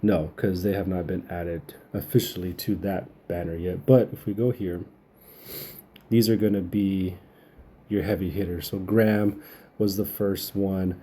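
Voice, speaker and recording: A man's voice: sits low, fairly even intonation, a little slow, warm tone, husky. A man getting over a cold, giving casual, rambling video game update commentary as a channel host.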